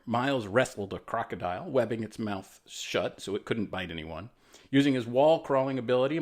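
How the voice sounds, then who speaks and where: Male storyteller for kids, friendly and encouraging, highly varied pitch, relaxed asides. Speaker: writer reading his children's story. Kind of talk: animated storytime reading with casual asides.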